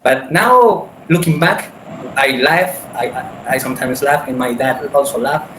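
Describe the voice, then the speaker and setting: A man sharing a personal story in casual conversation, speaking haltingly with frequent restarts over a video call. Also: mid-range voice. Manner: halting, many restarts and repeated words. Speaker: meeting participant. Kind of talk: casual conversation in English as a second language. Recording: echoey room with background noise.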